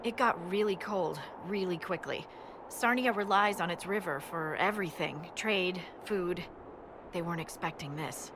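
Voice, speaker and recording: An actress playing a plain-spoken, wry army scout, reporting in a dry, matter-of-fact way in a video game. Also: female voice, fairly low pitch, moderate pace, noisy background, close to an everyday voice. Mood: grim, wry. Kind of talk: video game dramatic acting.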